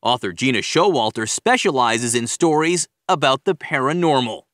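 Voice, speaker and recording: A man's voice: mid-range voice, animated, moderate pace, a bit bright, no room sound, studio quality. A man reading a scripted news feature as a reporter, with wry, playful delivery. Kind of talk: scripted narration.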